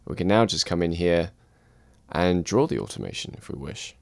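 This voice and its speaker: Male instructor, low dry voice, calm step-by-step tutorial read. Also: moderate pace, vocal fry, no room sound. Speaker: music production instructor. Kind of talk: screen-recorded software tutorial.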